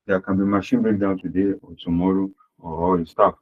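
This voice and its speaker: A man's voice: low-pitched, level tone, brisk, narrow, tinny audio, a little hiss or hum. A man teaching accounting in an online class, repeating his points and asking the class rhetorical questions. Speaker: accounting lecturer. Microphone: online class audio.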